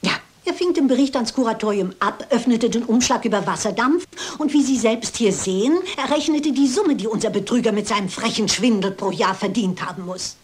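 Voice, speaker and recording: A woman's voice: on the high side, fairly quick, darkish tone, close to an everyday voice. An actress playing a shrewd elderly lady detective in a German crime drama, speaking precisely and with polite insistence. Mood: assured, explaining. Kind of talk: German dubbed crime film acting.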